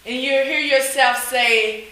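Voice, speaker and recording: A woman co-preaching a sermon in short phrases, pausing for an interpreter. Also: high-pitched, steady tone, sharp tone. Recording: very echoey room, faint noise.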